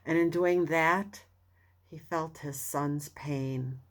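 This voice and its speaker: A woman reading a self-help book aloud as narrator, earnest and deliberate, with expressive emphasis and pauses. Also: low voice. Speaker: audiobook reader.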